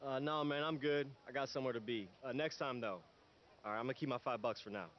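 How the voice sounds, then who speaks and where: An actor playing a reluctant, easily embarrassed team player in a screen drama, speaking in an understated, hesitant, dry way. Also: male voice, medium pitch, quick pace, lo-fi sound, a little hiss or hum, close to an everyday voice. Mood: guarded, flirting. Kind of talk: naturalistic screen acting.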